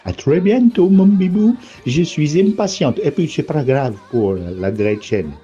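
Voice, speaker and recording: Acted by a man, a doting woman dictates a love letter to her sweetheart, rambling and hesitant and forever mixing up her places. The voice swoops up and down with real life, and the tone is soft and mellow. The recording is slightly muffled, with faint music underneath. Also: fairly high pitch, expressive, measured pace, slightly rough. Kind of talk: improvised comic letter.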